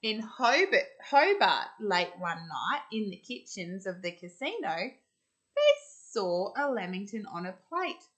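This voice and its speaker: An Australian woman reading a storybook to children, expressive and deliberate in the manner of a school storyteller. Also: mid-range voice, muffled recording.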